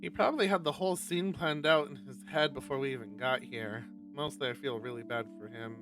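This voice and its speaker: A man streaming a visual novel, reading it aloud and commenting casually and expressively, thinking out loud and swearing. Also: medium pitch, a little fast. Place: live game stream. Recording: distant mic, music over voice.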